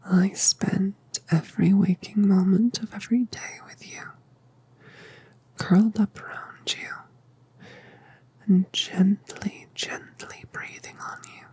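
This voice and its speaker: A colossal mother dragoness, voiced by a woman, murmurs in a breathy, airy whisper. She drifts along slowly and tenderly, soothing a hatchling toward sleep. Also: medium pitch. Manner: soft, slow, soothing. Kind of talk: whispered ASMR roleplay.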